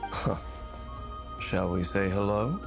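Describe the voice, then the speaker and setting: Very low, brooding game hero. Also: male voice, slow, gravelly, full character voice. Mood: reserved, apologetic. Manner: terse, grave, formal. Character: brooding, reserved young man, formal and conflicted. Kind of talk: video game voice acting. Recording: game music behind the voice.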